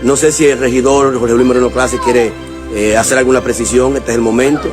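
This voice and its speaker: A man's voice: medium pitch, steady tone, brisk. Field reporter, man, music under. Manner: leading questions, wrapping up reports. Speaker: news reporter. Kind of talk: field news interview.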